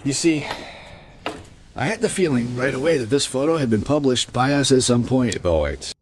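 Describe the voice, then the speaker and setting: A man's voice: mid-range voice, expressive, moderate pace, a little hiss or hum, close to an everyday voice. An actor playing a self-satisfied newspaper archivist in a radio play, chatting and musing as he goes. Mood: pleased, chatty. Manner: chatty, musing, pleased with himself.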